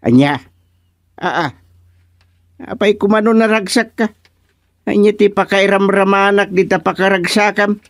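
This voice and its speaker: A man's voice: high voice, measured pace, long pauses, no echo. A man playing a grumbling village chairman in an Ilocano radio comedy, blunt and questioning, with an Ilocano accent. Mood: irritated. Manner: blunt, questioning, muttering interjections. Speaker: actor in radio comedy drama. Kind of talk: Filipino radio drama comedy acting.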